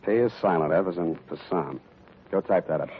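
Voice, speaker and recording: A man's voice: low-pitched, brisk, close to an everyday voice. An actor playing a hard-boiled male private detective in a 1940s radio drama, talking in a dry wisecracking drawl. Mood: wry. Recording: tinny lo-fi old radio broadcast with hiss.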